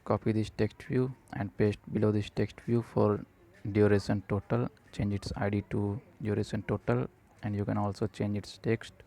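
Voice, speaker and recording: A man presents a step-by-step tutorial in a low, subdued, mellow voice with little melodic movement. He dictates in short, halting phrases. There is some background noise in the recording. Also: level tone, vocal fry. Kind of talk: step-by-step software tutorial narration.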